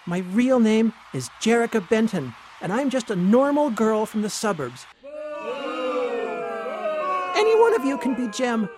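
A man's voice: extremely high pitch, big pitch swings, normal speed, background noise, voice pushed up. A man voicing an earnest young female pop singer in a comic radio play. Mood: earnest, pleading.

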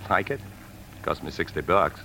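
Driven secretive husband in a 50s radio play, low voice but sharp and urgent. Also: male voice, expressive, moderate pace, a little hiss or hum, exaggerated voice, low-quality recording. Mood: evasive. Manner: urgent, insistent, evasive. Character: tense, driven husband hiding a secret, desperate to escape. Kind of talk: 1950s radio drama acting and first-person narration.

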